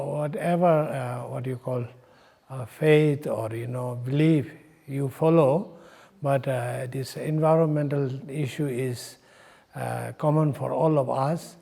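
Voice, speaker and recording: A man speaks English as an in an unhurried, gravelly voice with a soft, dark edge. He explains things off the cuff as a senior monk, often halting to find the next word. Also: medium pitch. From Nepal. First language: not English. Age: 60s. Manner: halting, searching for words, frequent pauses. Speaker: Buddhist monk in charge of a monastery. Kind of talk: unscripted explanatory talk.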